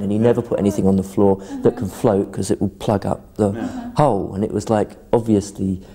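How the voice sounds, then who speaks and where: A man's voice: lowish voice, warm tone. A man from a Navy family recounting childhood memories in a casual, storytelling way. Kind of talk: documentary interview.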